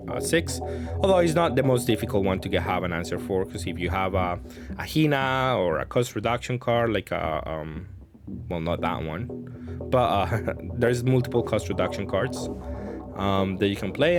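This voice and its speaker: Man thinking aloud, music behind. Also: fairly low pitch. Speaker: card game player commentating on own matches. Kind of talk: casual gameplay commentary.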